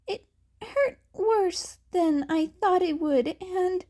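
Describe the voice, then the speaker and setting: A woman's voice: very high voice, takes it slowly, dark tone, pitched above the performer's own voice. A woman voicing an anxious, tearful teenage boy who stammers and gets flustered, in a fan fiction reading. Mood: tearful, apologetic.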